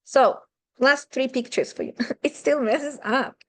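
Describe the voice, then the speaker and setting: A woman's voice: sits high, unhurried, fairly bright tone. A woman with a Russian-Ukrainian accent giving an online math seminar lecture from home, talking conversationally and asking rhetorical questions. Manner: conversational, asks rhetorical questions, non-native phrasing. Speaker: math teacher. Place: home room with a running aquarium.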